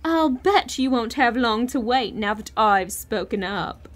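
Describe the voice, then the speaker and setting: An actress playing a snobbish, complaining middle-aged wife in an audio story, disdainful and imperious. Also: female voice, a little high, animated, measured pace. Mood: impatient, imperious.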